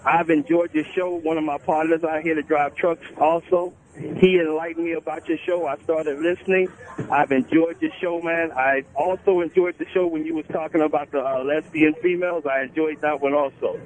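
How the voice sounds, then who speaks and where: A male truck driver calls in and chats in a friendly, easy way. His voice sits a little high. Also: steady tone, normal speed, a little mellow, low-quality recording. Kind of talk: casual phone call.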